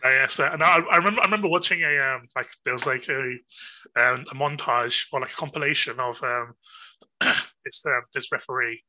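A man's voice: mid-range voice, a little fast, sharp tone, rough recording. A man hosting a podcast, chatting casually with frequent laughs and many fillers and repeated words. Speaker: podcast host. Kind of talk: casual chat.

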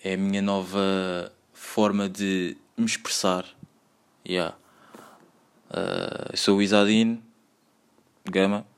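A young man in his twenties with a Lisbon Portuguese accent hosts a solo podcast. His voice sits a bit low, and he talks in a loose, meandering way, piling up slang and filler words as he goes. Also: level tone, takes it slowly, gravelly, pauses often, smooth. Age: 20s. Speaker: podcast host. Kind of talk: solo casual podcast monologue.

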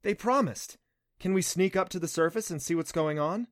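A man's voice: high voice, moderate pace, dry recording, studio quality, pitched above the performer's own voice, natural voice. A man voicing a bold, clever and bossy young girl adventurer in a storybook reading. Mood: hopeful.

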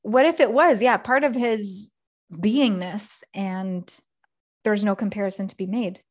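A woman's voice: medium pitch, measured pace. A woman hosting a podcast, thoughtful and affirming, building on her guest's ideas. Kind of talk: conversational podcast interview.

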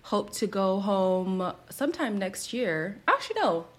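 A woman's voice: mid-range voice, big pitch swings, a little slow. A woman in her mid-twenties, from Zimbabwe and Canada, co-hosting a podcast in a casual, jokey, self-deprecating and exclamatory way. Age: mid-20s. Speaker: podcast co-host. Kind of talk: casual chat.

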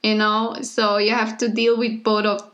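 A woman's voice: fairly high pitch, little pitch movement, normal speed, fairly bright tone, highs cut off. A woman from Slovenia, an English speaker, chatting casually as a podcast co-host. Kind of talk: casual conversational chat.

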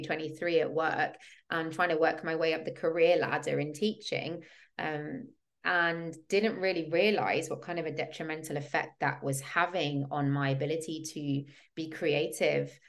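Low-voiced woman host, reflective storyteller. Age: 30s. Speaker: podcast host, women's coach. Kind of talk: personal storytelling monologue.

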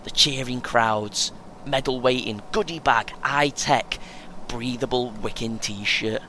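A man acting a bright-voiced, boastful young British runner. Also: takes it slowly. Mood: proud, boastful. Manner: earnest, self-important, chatty, chuckles often. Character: chatty, boastful, eager young amateur marathon runner. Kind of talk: audio play dialogue. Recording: faint vehicle noise behind. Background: vehicle rumble, coach ambience.

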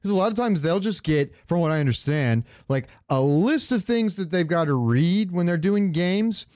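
A man's voice: sits high, animated, normal speed, a little mellow, no room sound, clean studio sound. A man co-hosting a casual podcast chat, talking in a loose, rambling way. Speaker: podcast co-host. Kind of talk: casual chat about game voice acting.

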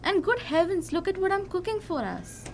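Woman acting a discontented wife, high voice. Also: sing-song, normal speed, full character voice. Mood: bitter, reproachful. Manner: reproachful, sighing, dissatisfied. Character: discontented, complaining young wife longing for luxury. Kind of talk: amateur radio drama acting. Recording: noisy amateur recording.

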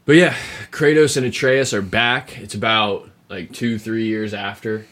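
A man hosting a podcast, explaining video game lore in a casual, rambling, sweary way, with a gravelly, husky voice. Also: on the low side, fairly even intonation, a little slow, fairly bright tone. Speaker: podcast host.

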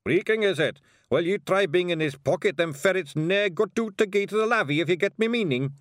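A man voicing tiny, quarrelsome pixie men with Scottish accents, gruff and cussing. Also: high-pitched, a little fast, no echo, clean studio sound, pitched above the performer's own voice, close to an everyday voice. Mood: squabbling. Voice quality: gruff, shouty, coarse cussing.

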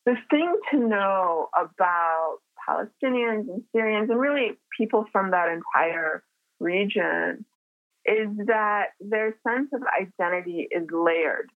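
A woman born in the United States explaining history in a measured lecture style, with occasional fillers. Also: mid-range voice, expressive, no room sound. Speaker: interviewed scholar. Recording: tinny phone-like line, faint music.

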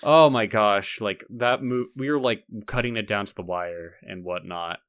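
A man's voice: lowish voice, moderate pace, a bit bright, clear, resonant tone, no room sound, clean studio sound. A young man co-hosting a podcast in casual chat, talking in a rambling way with lots of "like". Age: young adult. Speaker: podcast co-host.

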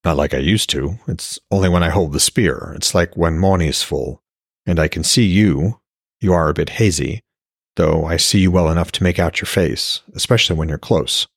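A brooding, age-old warrior god, read by a male narrator in a fantasy audiobook. His voice is low and husky, halting and unsure, recorded very dry and close. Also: no echo, full character voice. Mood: earnest. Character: brooding, long-lived blind warrior god, wounded, unsure, lovestruck.

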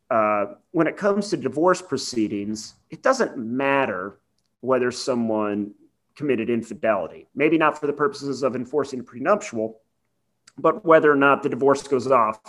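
A man's voice: medium pitch, expressive, moderate pace. A man co-hosting a podcast, explaining legal points about a film in a casual, conversational way with wry asides. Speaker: podcast co-host.

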